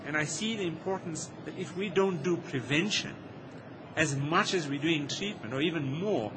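A man, a physician, speaking on a panel in a loud, emphatic and earnest way. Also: on the high side, moderate pace, noisy background. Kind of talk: panel discussion.

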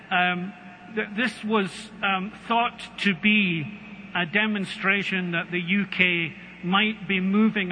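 A Scottish man speaking on a conference panel, halting with many ums and a dry wit. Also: high-pitched, bright, forceful tone. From Scotland. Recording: long hall reverb, faint noise.

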